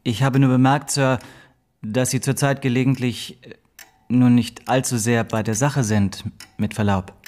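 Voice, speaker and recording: An actor playing a respectful, hesitant clerk in a German radio play, speaking with careful tact and deference. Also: male voice, mid-range voice, steady tone, unhurried, a little mellow, lightly acted. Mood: tactful, deferential. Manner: deferential, tactful.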